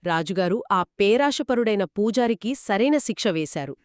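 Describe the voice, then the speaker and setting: A woman narrates a story as one of two hosts, with a lively, swinging melody in her mid-pitched voice. She explains in an expressive, conversational way, often affirming her partner. The sound is close and dry. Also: moderate pace, no echo. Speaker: storyteller host. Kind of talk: conversational moral storytelling in a two-host dialogue.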